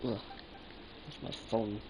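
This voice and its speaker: A man's voice: very slow, noisy background. A man live-streaming a game, chatting casually in slangy, exclamatory bursts.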